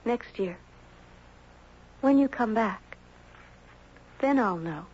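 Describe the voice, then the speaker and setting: A woman's voice: a little high, animated, slow pace, a little mellow. A woman playing a simple, candid, hesitant young girl in a 1970s radio drama. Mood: wistful, tentative. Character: young girl with a wild talent, candid, uncertain. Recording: dull, muffled 1970s radio broadcast.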